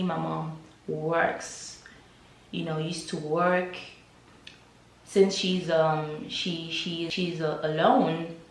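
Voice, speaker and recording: A young Nigerian-accented woman speaks in a fairly low voice, taking her time over a personal story. Her telling is reflective and hesitant. The room around her rings with a noticeable echo. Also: a little hiss or hum. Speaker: vlogger.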